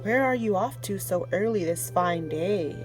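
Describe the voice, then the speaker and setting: A woman voicing a sly, wicked male wolf in a storybook reading, speaking low and slow. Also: animated, over music, close to an everyday voice.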